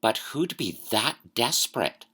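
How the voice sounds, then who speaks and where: Lady reader doing a boy wizard, voice dropped low, quiet and slow, worried questions. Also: big pitch swings, breathy edge, full character voice. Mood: curious, uneasy. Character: young boy wizard, anxious and curious. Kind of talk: storybook reading.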